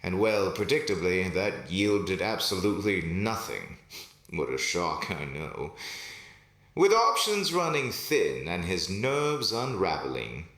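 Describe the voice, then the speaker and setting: A man's voice: deep voice, sing-song, takes it slowly, a bit bright. A man narrating a scripted story theatrically, with wry, sardonic asides. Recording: echoey, roomy sound.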